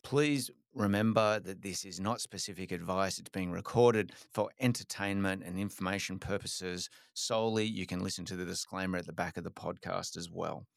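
A man with a very deep, gravelly voice hosts a podcast interview. He speaks in a measured, steady way and fills gaps with the odd "uh". The recording is close and dry. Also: dry recording. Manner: measured, steady delivery with frequent fillers. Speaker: podcast host.

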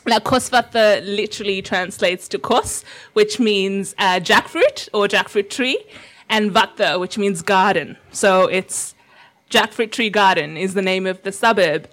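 Reflective woman from Sri Lanka, storytelling. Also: mid-range voice. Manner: warm, reflective, with fillers and small laughs. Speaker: invited speaker. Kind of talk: personal storytelling talk to an audience.

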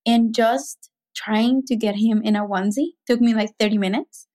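A woman's voice: fairly high pitch, fairly even intonation, normal speed, dark tone. A woman from Mexico City with a Mexican accent, a podcast guest talking in a chatty way full of "like" and "you know". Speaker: guest, business founder. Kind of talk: casual podcast conversation.

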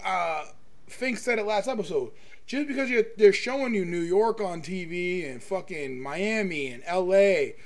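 A man's voice: sits high, lively intonation, unhurried. A man hosting a podcast, talking casually and emphatically about his opinions, with plenty of swearing. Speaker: podcast host.

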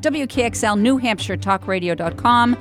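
A woman's voice: on the high side, lively intonation, normal speed, a bit bright, faint music behind. A woman hosting a chatty local radio show, talking informally in an effusive, exclaiming, encouraging way. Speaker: radio talk show host. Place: radio studio.

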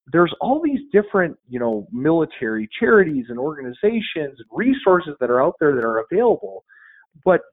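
A man talks as a guest in a casual, upbeat way, stumbling over repeated words as he plugs his links. His voice sits at a middle pitch and comes through a narrow, phone-like band. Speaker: podcast guest. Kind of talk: casual promotional interview talk. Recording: phone-like band-limited audio.

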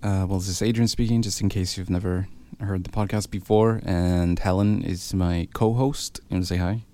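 A laid-back man from Mexico chats as a podcast co-host, swearing freely and laughing easily. His voice sits very low and is recorded close and completely dry, with no room sound. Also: fairly even intonation, moderate pace, warm tone, no room sound. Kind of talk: casual chat.

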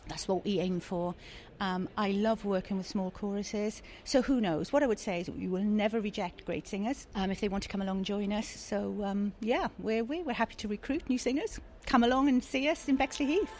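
A woman's voice: medium pitch, a little fast, darkish tone, slight background noise. A woman answering interview questions about her chorus in a friendly, slightly hesitant way.

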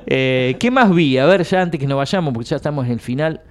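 Argentine male radio host, chatty and rambling, slightly raised pitch, studio mic. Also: animated, fairly quick. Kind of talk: casual radio chat.

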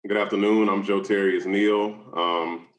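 A man's voice: fairly low pitch, fairly even intonation, a little slow, reverberant. A twenty-eight-year-old man on a panel, introducing himself hesitantly with many fillers. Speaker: panel guest. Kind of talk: self-introduction.

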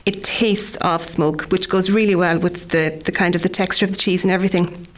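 A woman's voice: lowish voice, steady tone, brisk. An Irish woman in her forties, chatting casually on radio about food, rambling with frequent restarts. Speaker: food contributor.

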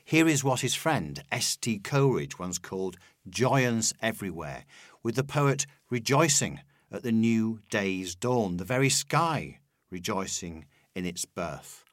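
A man reading a scripted literary essay in a measured, articulate, lecturing manner. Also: fairly low pitch, very animated, dry recording, studio quality. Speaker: essay reader and narrator. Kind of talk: scripted literary narration with poetry quotations.

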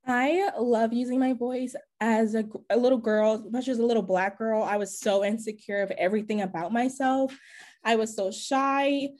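A woman's voice: fairly high pitch, steady tone, normal speed, darkish tone. A young woman co-hosting a podcast, speaking passionately and emphatically, with lots of "like". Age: young adult. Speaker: podcast co-host. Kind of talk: podcast chat.

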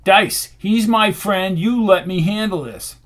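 A man's voice: high voice, slow, pitched above the performer's own voice. Man playing a terse, commanding, worried leader. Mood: angry. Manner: terse, forceful. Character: commanding, worried male leader protective of his wounded friend.

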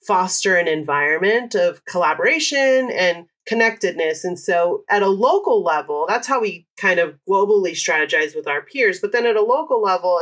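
Woman guest, low register, methodical and example-heavy explainer. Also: fairly bright tone. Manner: measured, explanatory, uses many examples. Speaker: employee resource group site lead. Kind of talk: podcast interview guest.

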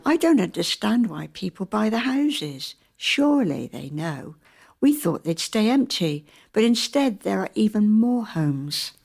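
A woman's voice: medium pitch, very animated, a little slow, creaky, darkish tone, full character voice. A woman acting in a radio play as an earnest, proud local campaigner. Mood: reflective. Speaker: community theatre actor.

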